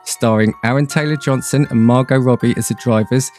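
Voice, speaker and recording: A man playing an ominous, portentous movie trailer narrator reading a scripted pitch. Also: fairly even intonation, a little fast, darkish tone, soft background music, close to an everyday voice. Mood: brooding, ominous.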